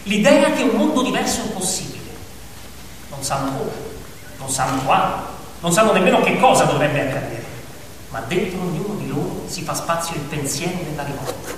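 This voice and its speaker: A man's voice: on the high side, very animated, brisk. Male narrator, dramatic scripted storytelling, emphatic phrasing, distant from the mic, heavy hall echo. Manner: theatrical, short emphatic phrases. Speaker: narrator of a radio play. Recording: very echoey hall, distant, noisy.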